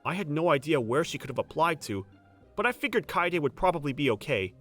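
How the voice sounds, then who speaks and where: A man's voice: fairly high pitch, very animated, a little fast, fairly bright tone, faint music behind. An actor playing an earnest, protective young male manager who narrates a romcom manga dub in first person. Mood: steady narration. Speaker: narrator and lead character.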